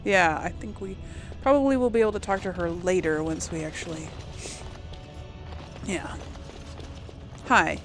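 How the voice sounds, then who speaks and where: A woman's voice: on the low side, a little slow, pauses often, over music. A woman hosting a casual video game let's play, rambling and musing to herself as she goes. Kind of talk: casual game commentary, thinking aloud. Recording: faint background noise.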